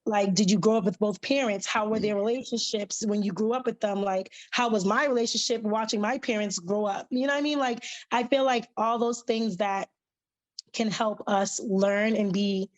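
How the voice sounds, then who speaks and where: A woman's voice: mid-range voice, normal speed. A woman on a podcast panel reflecting at length on her past, rambling with fillers. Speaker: panel guest. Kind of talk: casual panel discussion.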